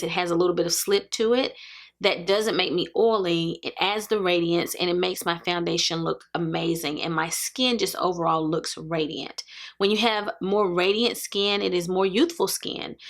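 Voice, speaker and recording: Woman, forties, beauty vlogger, lowish voice, earnest, emphatic, chatty tutorial. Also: fairly bright tone. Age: 40s. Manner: earnest, emphatic, repeats points for stress. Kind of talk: chatty makeup tutorial talking to camera.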